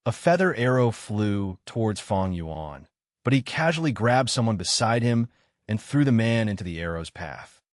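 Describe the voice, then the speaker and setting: A man narrating a fantasy novel in a steady, dramatic manner. His voice sits low and is recorded dry and close. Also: normal speed, no room sound. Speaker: audiobook narrator.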